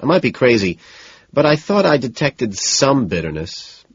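A man performs a jittery, well-off man in an audiobook, desperately faking composure. He stammers and pushes eagerly, his slightly husky voice pitched up, in a dull, roomy recording. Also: very animated. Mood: nervous, earnest. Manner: nervous, rushed, stammering, over-insistent.

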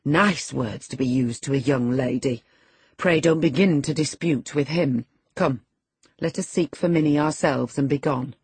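A sensible nursemaid from a storybook, played by a female reader. Her voice is low and husky as she protectively chides and soothes. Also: measured pace, a little mellow, no echo, exaggerated voice. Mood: chiding. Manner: admonishing, soothing. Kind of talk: dramatised audiobook character.

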